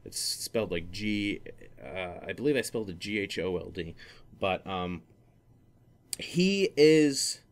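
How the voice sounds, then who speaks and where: A man's voice: deep voice, animated, takes it slowly. A young man hosting a solo gaming podcast, rambling casually with many fillers and restarts. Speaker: podcast host.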